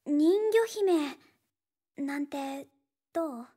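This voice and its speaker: A woman playing a reserved, thoughtful teenage schoolgirl in a Japanese anime game, speaking softly and hesitantly with a breathy voice. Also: high voice, animated, full character voice. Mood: measured storytelling. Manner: hesitant, gentle, measured.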